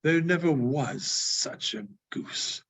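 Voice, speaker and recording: A man reading a classic story aloud in a theatrical, deliberate manner with dramatic pauses. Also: on the high side, very animated, gravelly, fairly bright tone, husky. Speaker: librarian giving a public reading. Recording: dull, muffled lo-fi recording.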